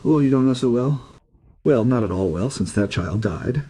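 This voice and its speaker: A man's voice: mid-range voice, expressive, normal speed, mellow, husky. An actor playing a lonely, sad old man in an audio drama, mournful and pleading in manner. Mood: sad, wistful. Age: older.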